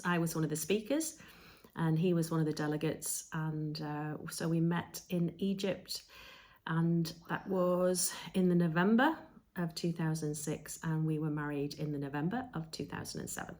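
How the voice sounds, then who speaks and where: A woman in her fifties from England, telling her story in a relaxed, chatty podcast conversation with frequent ums. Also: low-pitched, moderate pace. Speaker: podcast co-host.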